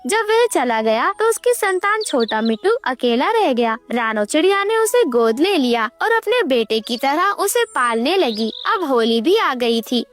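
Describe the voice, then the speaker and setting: A woman narrating a scripted children's story as a storyteller. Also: sits high.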